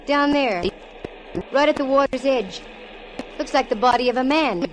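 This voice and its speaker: A woman's voice: high-pitched, moderate pace, lightly acted, low-quality recording. An actress playing a cool, wisecracking female private eye in a 1940s radio drama, talking and narrating in a wry, hard-boiled manner. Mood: urgent, alert. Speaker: lead actress in radio detective show. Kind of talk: 1940s radio acting, first-person narration. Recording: vintage 1940s radio recording.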